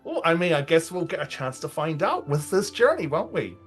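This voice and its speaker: A man's voice: on the high side, big pitch swings, brisk, faint music behind. A man roleplaying a ship captain in a tabletop game, talking in a rambling, hesitant way. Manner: rambling, hesitant, full of "I mean".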